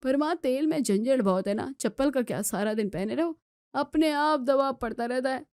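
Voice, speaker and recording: Woman as a polite young daughter-in-law, high voice. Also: moderate pace, darkish tone, dry recording, clean studio sound, lightly acted. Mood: gently persuasive.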